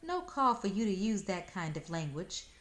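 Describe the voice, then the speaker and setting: A woman's voice: mid-range voice, very animated, moderate pace, fairly bright tone. A woman voicing a sassy young country girl in a storybook, teasing and matter-of-fact in rural dialect. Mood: unconvinced.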